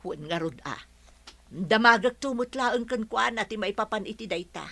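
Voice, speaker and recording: A woman's voice: medium pitch, moderate pace, gravelly, sharp tone. A woman voicing a concerned, helpful household maid in an audio comedy drama.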